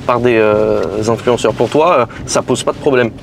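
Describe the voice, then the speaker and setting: A man hosting an interview show, asking questions in a halting, teasing way with many fillers. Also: medium pitch, vocal fry, noisy background. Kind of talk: casual interview in a car. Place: inside a car on city streets.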